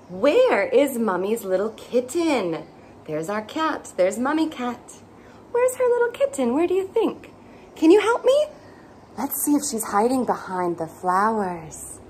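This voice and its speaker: A young woman with a high, very animated voice reads a picture book to small children. She takes her time and exaggerates playfully, asking questions as she goes. It is recorded in a room with some background noise. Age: young adult. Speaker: children's story time host. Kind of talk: animated picture-book read-aloud for toddlers. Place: small indoor playroom with bookshelves.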